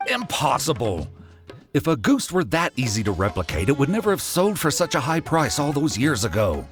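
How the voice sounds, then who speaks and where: A man's voice: mid-range voice, sing-song, moderate pace, close to an everyday voice. An actor playing a blunt, impatient younger male merchant in a video game. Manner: brusque, impatient. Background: game background music.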